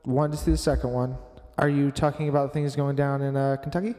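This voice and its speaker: A man's voice: medium pitch, flat delivery, a little fast, dark tone, in a large hall. A young man co-hosting a casual podcast, laid-back and teasing. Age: young adult. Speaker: podcast co-host. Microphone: studio microphone.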